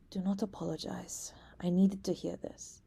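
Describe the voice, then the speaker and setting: A woman's voice: fairly low pitch, flat delivery, takes it slowly, gravelly, dark tone, a little hiss or hum. A woman playing a teasing young princess. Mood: worried, hushed. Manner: teasing, scatters French words. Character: teasing young princess, playful, anxious beneath. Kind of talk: ASMR roleplay acting.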